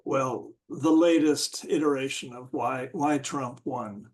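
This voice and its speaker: A man in a casual group discussion, talking haltingly and searching for words with many restarts. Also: medium pitch.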